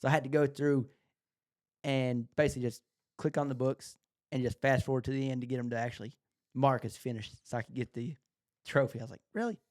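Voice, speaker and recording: A man's voice: mid-range voice, steady tone, fairly quick, darkish tone, dry recording, clean studio sound. A man co-hosting a podcast in casual chat, with an informal, matter-of-fact way of talking. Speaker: podcast co-host. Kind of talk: casual chat about books and shows.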